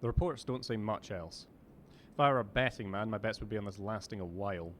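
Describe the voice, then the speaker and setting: A man's voice: lowish voice, a little fast, slight background noise, close to an everyday voice. A man playing a learned, skeptical ancient vampire advisor in an audio drama, formal, dry and probing. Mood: dry, disdainful.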